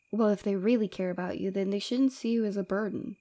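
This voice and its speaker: A woman playing a flirtatious, forward rebel villainess with a secretly caring side, in a soft, teasing, intimate ASMR roleplay. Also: mid-range voice, steady tone, a little fast, lightly acted. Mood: tender, concerned.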